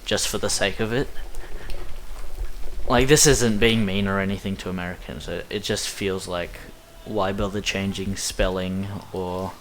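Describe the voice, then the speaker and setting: Laid-back Australian man, lowish voice. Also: fairly even intonation, a little slow, fairly bright tone, a little hiss or hum. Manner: chatty, self-deprecating, rambling asides. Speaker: speedrunner. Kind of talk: casual commentary over gameplay.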